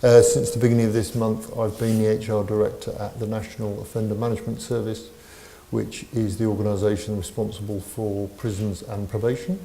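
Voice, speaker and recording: A man's voice: lowish voice, flat delivery, brisk, warm tone, reverberant, a little hiss or hum. A man in his fifties introducing himself on a conference panel, wry and matter-of-fact.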